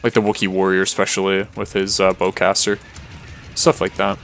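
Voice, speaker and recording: A tired man streaming a game late at night, rambling in a flat, monotone way with lots of fillers and restarts. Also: low-pitched, normal speed, gravelly, soft background music. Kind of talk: casual gameplay commentary.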